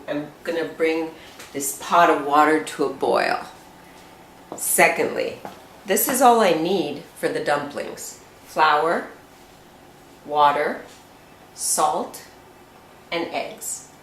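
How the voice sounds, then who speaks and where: A woman with a deep voice explains a recipe slowly and chattily as she cooks. She is recorded from some way off in an echoey home kitchen. Faint clinks of pans and cutlery sit behind her. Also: recorded from a distance, slight background noise. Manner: slow, homely and chatty. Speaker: home cook presenting a recipe. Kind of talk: step-by-step cooking demonstration. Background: clinking pots and cutlery.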